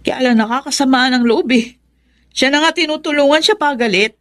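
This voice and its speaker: An actress playing a self-righteous, bossy elder sister in a Filipino radio drama, nagging and insistent with drawn-out emphasis. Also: female voice, high voice, lightly acted. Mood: aggrieved.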